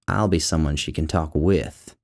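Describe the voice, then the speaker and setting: A man acting a deep-voiced, indignant father. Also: steady tone, normal speed, gravelly, darkish tone. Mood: earnest, declaiming. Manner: indignant, declaiming. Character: defensive, opinionated father, an aspiring writer's blurting character.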